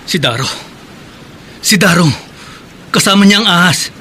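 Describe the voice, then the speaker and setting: A man's voice: sits high, expressive, unhurried, pushed and bright, slight background noise, exaggerated voice. A Filipino man acting in a horror radio drama as a guilt-ridden, suspicious fisherman. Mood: fearful, accusing.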